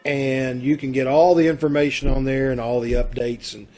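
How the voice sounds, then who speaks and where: A man's voice: medium pitch, little pitch movement, moderate pace, darkish tone, faint music behind. Upbeat, folksy man hosting a sign-off. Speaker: troupe host. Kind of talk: informal show sign-off. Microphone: video call. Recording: home video-call recording.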